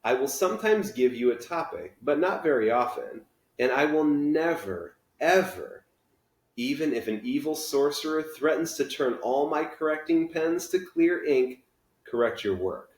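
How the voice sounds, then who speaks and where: A man's voice: a little high, animated, unhurried, pitched above the performer's own voice. A man reading a children's novel aloud, voicing an upbeat, encouraging and kind schoolteacher with emphatic delivery. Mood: enthusiastic. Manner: emphatic, theatrical, reassuring. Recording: echoey room.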